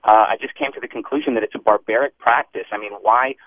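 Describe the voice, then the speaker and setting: A man's voice: fairly low pitch, fairly even intonation, fast, no echo. A man from Iran calling into a radio show, earnest and opinionated, heard over a phone line. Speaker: caller. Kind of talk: call-in comment. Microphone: telephone.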